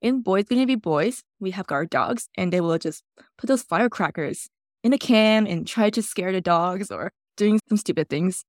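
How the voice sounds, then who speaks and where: A woman from Taiwan, a podcast guest telling relaxed stories in loosely phrased English. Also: mid-range voice, moderate pace, no room sound, studio quality. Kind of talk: casual interview storytelling.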